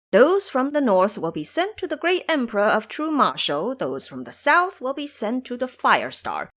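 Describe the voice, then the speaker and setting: Cocky, teasing male monkey monk played by a woman, storybook adventure, lifted pitch, lively swoops. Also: exaggerated voice. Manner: boastful, teasing. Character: brash, cocky male monkey-monk trickster, fearless and playful. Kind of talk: audio drama storytelling.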